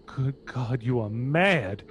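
Man acting a fearful old husband, mid-pitched voice. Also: expressive, slow pace. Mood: appalled. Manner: grave, anxious. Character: fearful, sorrowful elderly husband and father. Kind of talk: radio horror drama acting.

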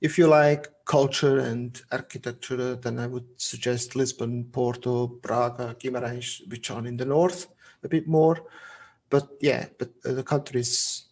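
A Portuguese man with a Swiss German accent, a guest on a podcast, talking casually and restarting his phrases often. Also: mid-range voice. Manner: halting, restarts phrases, relaxed.

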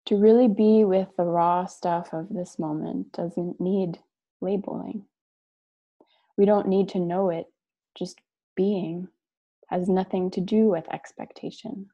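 A woman leading a guided meditation, speaking and reading aloud in a gentle, measured way with long pauses between short phrases. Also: lowish voice. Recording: dull lo-fi online call audio.

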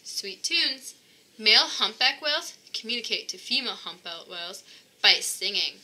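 Very bright, animated woman reading aloud. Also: mid-range voice, normal speed, low-quality recording. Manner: expressive, addressing child listeners. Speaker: storybook reader. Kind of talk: children's read-aloud narration.

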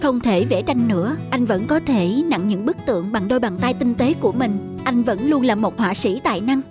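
A woman's voice: on the high side, normal speed, music in the background, lightly acted. A woman voicing a patient, caring wife and mother in a cartoon, soothing and encouraging. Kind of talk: dubbed cartoon dialogue.

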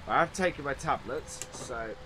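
A man's voice: mid-range voice, normal speed, noisy background. Stuffy, slightly nasal man gaming. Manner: easygoing, thinking aloud as he works. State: stuffy from hay fever. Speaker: farming simulator role-play vlogger. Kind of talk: casual commentary while playing.

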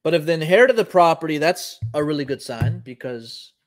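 A man's voice: on the high side, animated, brisk. A man in his thirties from Puerto Rico, hosting a live show and explaining casually while thinking aloud with frequent ums. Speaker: host. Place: home office, indoors.

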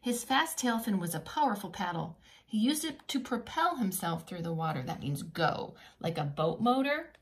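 A woman preschool teacher reading a children's picture book aloud in an expressive, teacherly way with simple asides. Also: medium pitch, normal speed, few pauses.

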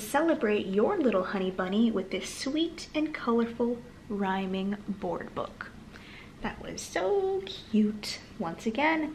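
A woman reading aloud to young children in a sing-song manner with gentle asides. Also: mid-range voice, a little hiss or hum, poor audio. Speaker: children's storytime host and reader. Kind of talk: read-aloud storytime for toddlers, addressing young children. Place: small living room, on a sofa, indoors.

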